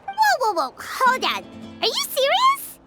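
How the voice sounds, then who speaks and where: A woman's voice: very high voice, wildly swooping pitch, a little slow, heavily acted. A woman voicing a tiny, childlike, cheeky and blunt fairy girl in a video game. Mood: sassy. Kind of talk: animated video game acting. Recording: loud game music behind.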